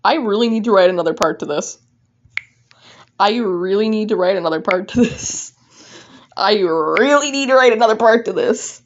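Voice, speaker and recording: A woman's voice: medium pitch, slight background noise. A woman casually reading her own fan fiction aloud, informally breaking off to comment on it. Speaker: fan fiction writer and reader. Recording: dull, lo-fi microphone sound.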